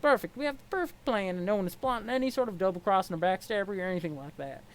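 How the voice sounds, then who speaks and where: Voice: medium pitch, very fast, lightly acted. A performer voicing a brash, scheming young female alien with a sassy, sarcastic and pushy way of talking. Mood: scheming, breezy. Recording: clear background noise.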